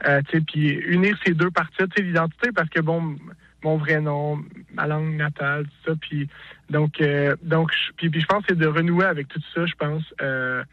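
A Québécois man speaks as an interview guest, his slightly high voice stumbling through restarts and fillers. The line is dry and dull, with the top end muffled. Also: dry recording. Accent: Québécois French. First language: French. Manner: hesitant, many restarts and fillers. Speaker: singer-songwriter guest. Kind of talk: casual interview.

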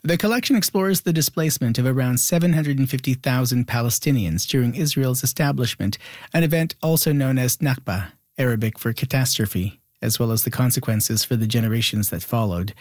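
A man reading a scripted news introduction as anchor, with a steady, measured delivery. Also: medium pitch, no room sound, studio quality.

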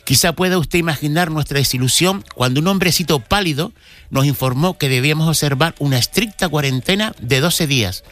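A man's voice: on the high side, brisk, a bit bright, no echo. A man, a cultural commentator telling a local history story on a radio segment, with frequent restarts.

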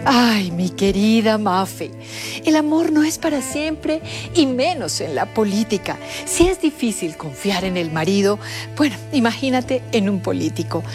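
A woman's voice: medium pitch, sing-song, a little slow. A woman playing a syrupy, theatrical love-advice columnist with a Colombian accent in a comedy radio sketch. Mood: gushing, sympathetic. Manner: gushing, theatrical. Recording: music playing behind the voice. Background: music.

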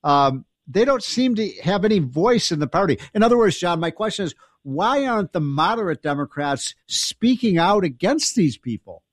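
A man's voice: high-pitched, sing-song, dry recording, studio quality. A man hosting a talk radio show, sounding stuffed up from a summer cold, casual with frequent interjections. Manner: casual, quick interjections, some stumbles.

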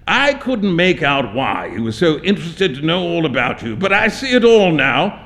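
Boisterous English gentleman, high and swooping. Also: big pitch swings, normal speed. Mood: jovial teasing. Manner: hearty, theatrical, teasing. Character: boisterous, teasing English gentleman painter, jovial young man. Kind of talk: dramatic literary story reading.

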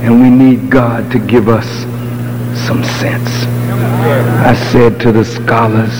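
A man preaching a fiery sermon, scolding his listeners and rising into shouts, with call and response. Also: mid-range voice, takes it slowly, over music. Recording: long hall reverb, steady hum.

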